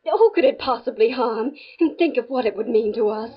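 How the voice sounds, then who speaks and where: An actress playing a practical, persuasive fiancee in a 1950s radio drama. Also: female voice, on the high side, animated, a little fast, loud music behind the voice, natural voice. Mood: coaxing, skeptical. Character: practical, coaxing fiancee of a stuffy academic. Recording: muffled, faintly noisy 1950s radio recording.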